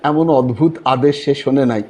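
A man narrating a literary story in an expressive, theatrical manner with a husky voice. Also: mid-range voice, normal speed. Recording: music bed behind the voice. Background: background music.

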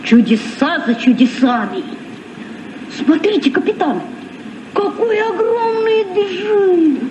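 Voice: very high voice, lively intonation, takes it slowly, darkish tone, exaggerated voice. An actor playing an eager, polite youngster who asks curious questions in a vintage radio play. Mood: curious, wondering. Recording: muffled, noisy, distant old radio.

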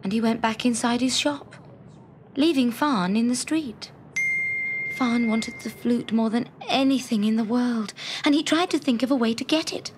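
A woman narrating a scripted children's story in an expressive, dramatic storytelling manner. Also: fairly high pitch, moderate pace, noisy background. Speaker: storyteller, audiobook reader.

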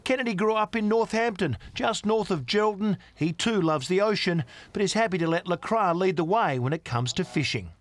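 A man with an Australian accent reading a scripted news voice-over in a crisp, deliberate way. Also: high voice, animated, no room sound. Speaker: reporter.

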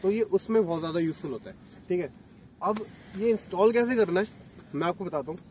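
A man's voice: high-pitched, expressive, quick pace, darkish tone, a little hiss or hum. A man in his thirties, a motorcycle vlogger, chatting casually as he explains gear step by step at an open lakeshore. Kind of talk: casual product demo.

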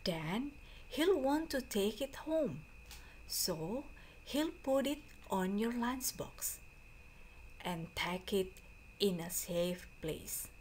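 Slow-reading woman teacher, slightly noisy recording. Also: medium pitch, expressive, a little mellow. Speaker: teacher reading aloud to students. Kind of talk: slow storybook read-aloud for a class.

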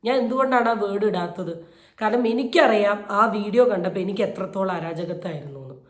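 A woman delivers opinion commentary in an indignant, emphatic way. She repeats phrases for stress, her mid-pitched voice ringing in a roomy, echoey space. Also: fast talker. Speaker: YouTube commentator. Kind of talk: opinion commentary on a viral video.

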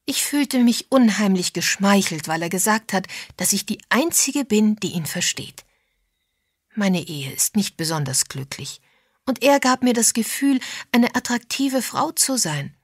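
A woman's voice: medium pitch, very animated, a little slow, breathy edge, no room sound, studio quality, voice pushed up. A woman voicing an unhappily married woman who is embarrassed and confiding, earnest and confessional, in an audiobook. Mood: rueful, confiding.

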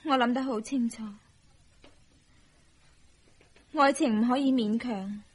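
An actress with a Hong Kong Cantonese accent playing a young, devoted, self-sacrificing wife in a radio drama, plaintive and earnest. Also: female voice, a little high, brisk, husky. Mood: anguished, resigned.

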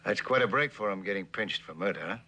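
A middle-aged man playing a guilty, conscientious company man in a 1960s television drama, with a halting, understated delivery. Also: fairly low pitch, animated, noisy background. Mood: resigned. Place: indoor living room set.

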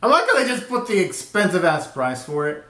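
A man in his twenties giving a casual, excitable live reaction commentary full of slangy exclamations, recorded in a small bedroom. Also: sits high, a little fast. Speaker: reaction video host. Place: small bedroom at home, indoors. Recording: roomy, echoey home recording.

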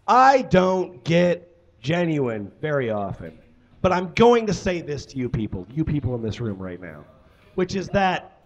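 A man's voice: on the high side, highs cut off. A middle-aged man hosting a live comedy podcast show, addressing the room casually with swearing, exuberant, on a handheld stage microphone. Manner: exuberant, often raising his voice. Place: small indoor stage in a bar.

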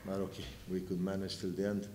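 Very deep flat-voiced coach. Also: male voice, fast talker. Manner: blunt, frustrated, self-correcting. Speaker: visiting basketball coach. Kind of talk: post-game press conference answers. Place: press room with sponsor backdrop. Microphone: table mic. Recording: echoey room, noisy background.

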